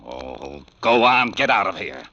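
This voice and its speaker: An irritable homicide lieutenant in a vintage 1940s radio drama. He is gruff and bossy, with a forceful edge and swooping, animated pitch, on a dull old recording. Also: unhurried, heavily acted. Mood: annoyed. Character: irritable, exasperated New York police homicide lieutenant. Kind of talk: 1940s radio detective drama acting, rapid banter.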